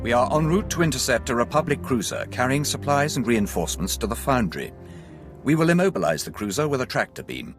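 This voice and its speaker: A man playing a brisk, dutiful imperial fleet commander in a video game, with a formal military manner. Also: medium pitch, big pitch swings, over music, natural voice. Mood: urgent, formal. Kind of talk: video game cutscene acting.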